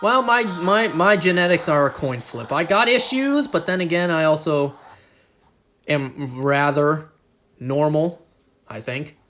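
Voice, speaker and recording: A slightly high-voiced man chats loosely on a live game stream. He rambles from one aside to the next and jokes at his own expense, with soft music playing underneath. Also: animated, unhurried. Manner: rambling, self-deprecating, joking. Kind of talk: casual live-stream chat.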